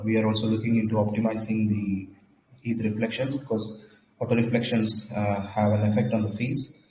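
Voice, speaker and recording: Man, slightly low voice, flat delivery, has a cold, halting and repetitive, casual livestream talk, echoey room. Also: a little hiss or hum. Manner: halting, repeats words and restarts often. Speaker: crypto project founder hosting a livestream.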